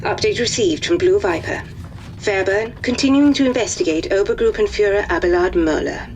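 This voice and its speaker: A woman's voice: medium pitch, normal speed, noisy background, close to an everyday voice. An actress playing a female intelligence operator who delivers clipped, businesslike updates.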